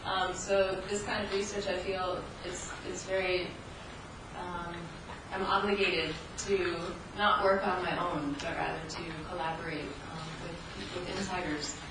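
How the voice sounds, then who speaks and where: A woman's voice: fairly low pitch, fairly even intonation, a little slow, a crowd in the background. A woman researcher co-presenting at an academic conference, reflective and explanatory, with some hesitations. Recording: echoey hall, distant mic, noisy.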